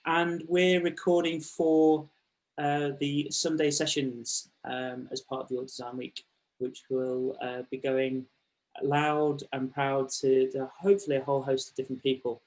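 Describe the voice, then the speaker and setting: A man's voice: medium pitch, completely flat delivery, unhurried, darkish tone, low-quality recording. A man hosting an online talk session, introducing a guest in a friendly but hesitant way with many ums. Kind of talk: casual spoken introduction.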